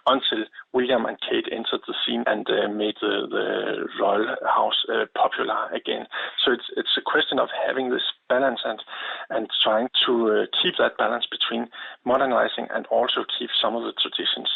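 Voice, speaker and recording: A man with a Danish accent explaining things as an expert radio guest, deliberate and hesitant, often rephrasing, over a narrow phone-like line. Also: mid-range voice, gravelly, slightly rough. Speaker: expert guest, history lecturer.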